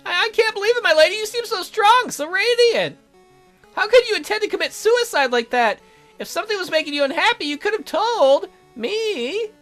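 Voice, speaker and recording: A man's voice: very high voice, expressive, moderate pace, fairly bright tone, soft background music, pitched above the performer's own voice. A man voicing a timid, devoted servant who stammers and pleads in distress. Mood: hurt, pleading.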